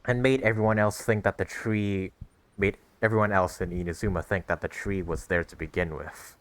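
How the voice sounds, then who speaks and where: A young man hosting a gaming video, giving casual, halting commentary as he plays. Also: sits low, fairly even intonation.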